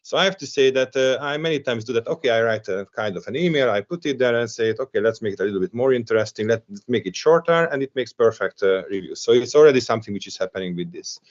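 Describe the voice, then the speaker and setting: A man's voice: mid-range voice, fast talker, hardly any pauses, lo-fi sound. A man with Hungarian as his first language, speaking as a panelist at a round table, hesitant, with many restarts and fillers.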